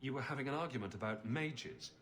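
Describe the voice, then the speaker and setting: An actor playing a composed, wry champion in a video game, speaking in a measured, lightly dry way. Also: male voice, mid-range voice, a bit bright, close to an everyday voice. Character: composed, wry male champion, a settled refugee. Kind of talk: video game cutscene acting.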